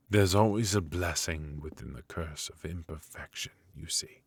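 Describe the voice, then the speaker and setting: A man playing a bitter, haughty royal mage in an intimate fantasy ASMR roleplay, speaking in a measured, aristocratic, dryly mocking way. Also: a bass voice, fairly bright tone, husky, full character voice. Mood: scornful, mocking. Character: bitter, sardonic, haughty royal mage passed over for succession. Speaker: amateur voice actor.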